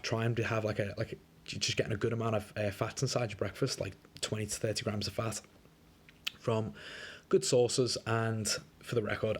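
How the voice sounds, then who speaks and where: A young man coaching a client on a diet plan in a casual, chatty way, sounding a bit wheezy from a coming flu. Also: fairly low pitch. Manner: laid-back, chatty, lots of fillers. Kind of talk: casual screen-recorded walkthrough. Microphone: screen recording.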